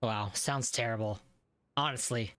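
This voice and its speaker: A woman's voice: rumbling low, a little slow, fairly bright tone, no room sound. A woman giving casual gameplay commentary, reacting in slang and reading dialogue lines aloud. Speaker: gamer reacting to a game.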